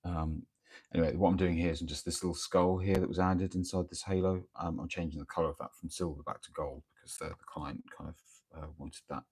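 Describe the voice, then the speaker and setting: A man with a croaky voice from a cold, chatting casually and hesitantly during a morning live stream of his painting, with lots of ums and restarts. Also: low voice, steady tone, fast talker, a little mellow. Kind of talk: casual live-stream chat while working. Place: home workspace, early morning. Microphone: microphone near the speaker.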